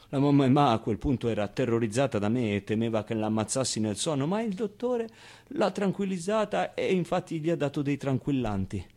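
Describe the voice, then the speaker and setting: A man acts out a young orphan boy telling his story in a theatre monologue. The voice sits a little high, with halting, colloquial phrasing and small interjections. He is candid and streetwise but tender, speaking straight to his listeners. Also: darkish tone, natural voice. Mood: tender, reflective.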